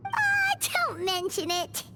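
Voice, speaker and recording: A woman playing a tiny, excitable childlike companion in a video game, with a squeaky, exclamatory delivery. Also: slow pace, full character voice. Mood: cheerful. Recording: loud music behind.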